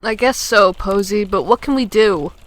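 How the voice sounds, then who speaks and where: Casting: actress as doubtful young storybook woman, comic dub. Medium pitch, casual delivery. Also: normal speed, no room sound, natural voice. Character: skeptical, reluctant young fairy-tale woman.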